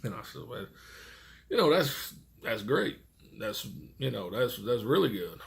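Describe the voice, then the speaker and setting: A man's voice: mid-range voice, big pitch swings, unhurried, gravelly. An American man who works as a truck driver, giving casual, rambling tips in blunt, profane, folksy vernacular. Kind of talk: casual rambling vlog monologue.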